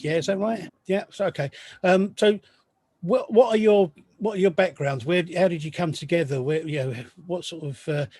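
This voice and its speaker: A man hosting a music podcast interview, asking questions with many pauses and restarts. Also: a little high, darkish tone, dry recording. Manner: hesitant, many ums and restarts. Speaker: podcast host. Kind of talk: casual interview.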